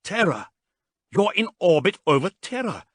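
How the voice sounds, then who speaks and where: A man's voice: sits high, wildly swooping pitch, takes it slowly, husky, dry recording, studio quality, voice pushed up, full character voice. A man voicing a determined young woman law officer who speaks in an urgent, insistent way.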